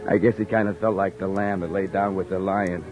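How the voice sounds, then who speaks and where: An actor playing a weary beat cop who narrates and talks with dry wisecracks, in an old radio drama. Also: male voice, deep voice, little pitch movement, fairly quick, dull audio, over music, close to an everyday voice. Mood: narrating. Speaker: narrator and lead. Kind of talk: 1950s radio drama acting. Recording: old radio recording with faint hiss.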